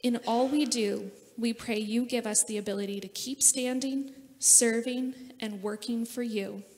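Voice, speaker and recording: A woman reads a prayer to a congregation. Her voice sits a little high, and a long reverb from the large church hall trails her words. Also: steady tone, unhurried, darkish tone. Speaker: church member leading prayer. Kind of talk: scripted prayer read aloud. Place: large church sanctuary, at a lectern.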